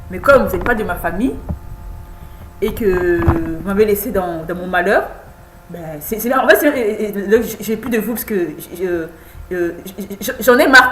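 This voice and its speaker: A woman in her thirties recording a personal video message, halting and repeating words, insistent and pleading. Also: a little high. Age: 30s. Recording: echoey room with faint hum.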